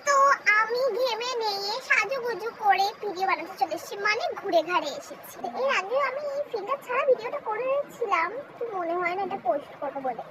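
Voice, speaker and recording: A young woman chatting playfully and self-mockingly in a casual vlog, her voice altered by a high-pitched filter. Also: animated, brisk, very noisy. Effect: high-pitched voice-changer filter. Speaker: social media video creator.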